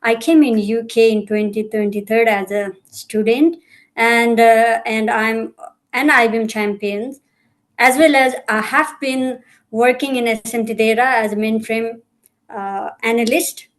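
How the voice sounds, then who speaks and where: A woman's voice: fairly high pitch, fairly even intonation, unhurried. A young woman from Nepal, a podcast guest, answering in a hesitant way with many fillers. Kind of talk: casual interview answers.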